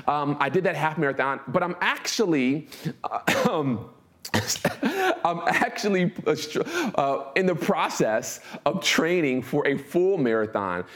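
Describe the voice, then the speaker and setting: A man's voice: on the high side, sing-song, measured pace, a bit bright. An American man of forty giving a conversational talk, informal and emphatic, repeating phrases and checking in with his listeners. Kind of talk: conversational motivational talk with personal anecdotes.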